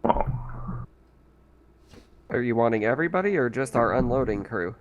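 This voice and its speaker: Medium-pitched man, dull lo-fi mic. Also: expressive, measured pace. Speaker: tabletop game player. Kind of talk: casual in-game questions and chat. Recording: dull lo-fi mic with faint noise.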